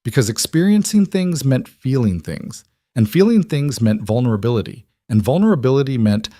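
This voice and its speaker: A man's voice: mid-range voice, animated, moderate pace, warm tone, no room sound. A man telling a story as scripted romance audiobook narration.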